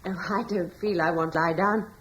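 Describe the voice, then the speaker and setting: An actress playing a gentle, softly persuasive wife in a radio drama. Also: female voice, on the low side, steady tone, a little fast, breathy edge.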